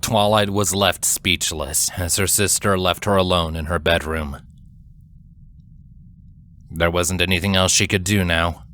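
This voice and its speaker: A man reading scripted dramatic fiction as a narrator, in a measured, solemn storytelling manner. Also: sits low, fairly even intonation, sharp tone, slight background noise.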